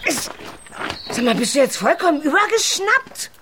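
Sharp-tongued, pragmatic wife who speaks bluntly and pushes back. The voice sits a little high. It is naturalistic radio drama, with clear noise in the background. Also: female voice, very animated, normal speed. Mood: furious. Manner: blunt, confrontational.

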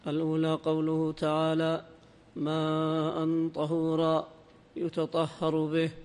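A man reading a classical religious text aloud as a student in a lesson, in a formal, measured, half-chanted recitation. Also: on the high side, monotone, a little mellow, clear, resonant tone. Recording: long hall-like reverb.

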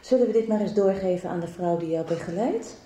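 A woman acting a calm, frank doctor in a radio soap. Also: medium pitch, a little fast, close to an everyday voice. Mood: frank, firm. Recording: echoey room, faint noise.

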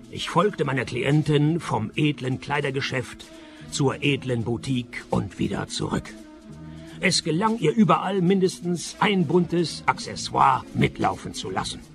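A man's voice: medium pitch, vocal fry. An actor playing a dry, sarcastic male private detective who acts and narrates in a radio play. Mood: wry. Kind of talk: radio play acting with first-person narration.

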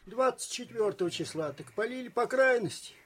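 Актёр в радиоспектакле играет добродушного солдата, который говорит по-простонародному, с прибаутками. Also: male voice, very high voice, animated, normal speed, fairly bright tone, husky, heavily acted. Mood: bantering. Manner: folksy colloquial banter. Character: good-natured, bantering rank-and-file soldier in wartime. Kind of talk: radio drama acting.

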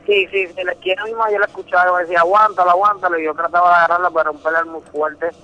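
A young Puerto Rican man speaks Spanish with a Puerto Rican accent, answering a radio interview over the phone. His voice sits a little high and sounds bright and forceful. He tells his story earnestly and repeats words as he goes. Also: flat delivery, very fast, highs cut off. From Puerto Rico. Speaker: interviewed boxing champion.

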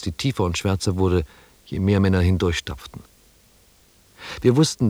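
A sober narrator recounting an expedition in a radio drama. His voice is low, in a dry studio sound. The delivery is literary, measured and descriptive. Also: darkish tone, no room sound.

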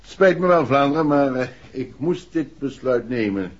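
An actor playing a grave, resolute bereaved father, a formal and courteous gentleman, in a 1960s Dutch radio play. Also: medium pitch, expressive, moderate pace, breathy edge. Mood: stiffly courteous. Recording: dull, muffled old radio recording.

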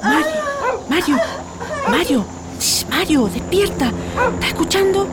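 An actress playing an anxious, caring Chilean neighbour who speaks urgently and repeats herself in a radio drama. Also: female voice, sits high, lively intonation, a little slow, very noisy. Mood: urgent, hushed. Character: anxious, caring working-class neighbour woman. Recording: music behind the voice.

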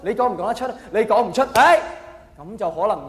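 A high-pitched man with a Hong Kong Cantonese accent delivers a stand-up routine in a theatrical way. He keeps throwing questions out to the audience. His voice rings around an echoey hall with a long reverb and faint noise. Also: expressive, fairly quick. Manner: theatrical, acts out quoted characters. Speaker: stand-up comedian. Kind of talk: live stand-up comedy monologue to an audience.

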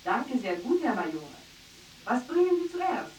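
An actress playing a polite, cheerful radio announcer bantering on an old broadcast. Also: female voice, a little high, sing-song, measured pace, darkish tone. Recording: hissy, distant radio broadcast.

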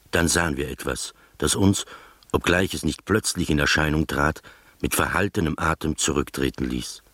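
An actor in a radio drama playing a skeptical gentleman who narrates his uncanny adventure in a measured, literary manner. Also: very deep voice, dry recording.

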